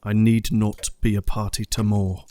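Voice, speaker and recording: Weary, low-voiced grieving gentleman played by an actor. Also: level tone, moderate pace, natural voice. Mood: weary grief. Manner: subdued, weary, formal. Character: weary, grieving young gentleman, drained of fight. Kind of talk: audio drama acting.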